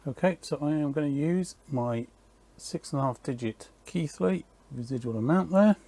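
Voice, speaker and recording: A man's voice: on the high side, moderate pace, vocal fry, dark tone. A man in his workshop, casually explaining an electronics build in a technical, matter-of-fact way, thinking aloud with fillers. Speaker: electronics maker and channel host.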